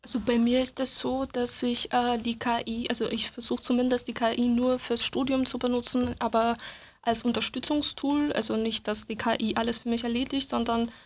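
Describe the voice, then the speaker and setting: A woman student speaks casually and keeps correcting herself as she goes. Her voice is fairly high but stays flat and level. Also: moderate pace. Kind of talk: casual discussion.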